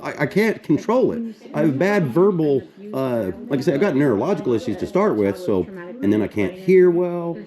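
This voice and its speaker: A loud, blunt man chatting casually before a public meeting, with a slight stutter. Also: on the high side, big pitch swings, normal speed, a crowd in the background. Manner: blunt, joking, restless. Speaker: meeting attendee. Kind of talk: casual chat.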